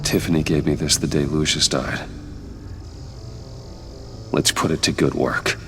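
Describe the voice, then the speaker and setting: Very deep-voiced grim vigilante. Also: male voice, fairly even intonation, unhurried, fairly bright tone, soft background music. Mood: focused. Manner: terse, serious. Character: grim, determined wealthy male vigilante detective. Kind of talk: video game voice acting.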